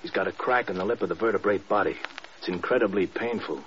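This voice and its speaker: A man's voice: low-pitched, quick pace, a bit bright. A man playing a matter-of-fact military doctor in a mid-century radio drama, clinical and deferential. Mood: concerned, clinical. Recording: dull old radio with faint noise.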